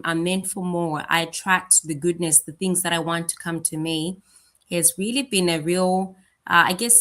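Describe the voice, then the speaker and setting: A woman's voice: on the low side, fairly bright tone. A woman co-hosting a livestream, giving a casual, earnest and conversational motivational talk. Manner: conversational, earnest, often says "you know". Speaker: livestream co-host, business owner.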